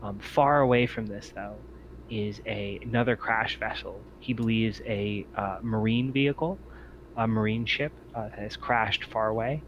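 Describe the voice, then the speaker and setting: A man improvising narration as the game master of a tabletop roleplaying game, speaking haltingly with many ums and pauses. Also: lowish voice, steady tone, a bit bright, lo-fi sound, slight background noise. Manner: halting, hesitant delivery full of long ums and pauses.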